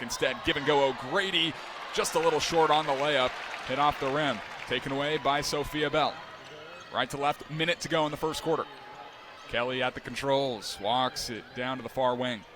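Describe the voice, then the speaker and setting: A young man calling live games as a play-by-play announcer, steady between plays and climbing sharply in pitch and volume on big moments. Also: noisy background. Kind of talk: live sports play-by-play commentary.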